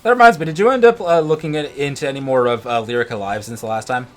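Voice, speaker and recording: A man's voice: mid-range voice, quick pace. A man co-hosting a gaming video in casual chat, rambling and often stumbling back over his words. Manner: rambling, stammering with repeated words. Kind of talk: casual let's play chat. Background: video game music.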